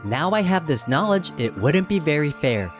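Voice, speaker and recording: A storybook reader giving scripted children's narration in an expressive, measured rhyming rhythm. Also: medium pitch. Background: background music.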